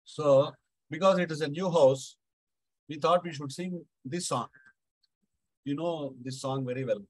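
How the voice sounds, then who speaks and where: A middle-aged man with a South Indian accent tells a devotional anecdote casually, halting and repeating phrases. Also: a little high, no room sound, rough recording. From Andhra Pradesh, India. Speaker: Carnatic musician, guest speaker.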